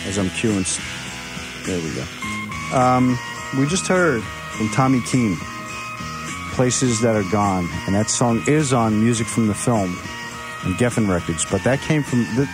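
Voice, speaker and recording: A man's voice: fairly low pitch, a little slow, long pauses, rough recording. A man hosting a radio show in casual music trivia banter, rambling and full of jokes and puns. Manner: joking, with puns and rambling asides. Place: radio studio.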